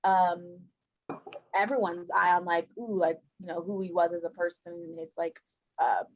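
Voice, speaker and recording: A woman in a book club, talking in a lively, blunt way. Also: on the low side, moderate pace, dull audio. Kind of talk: casual book discussion.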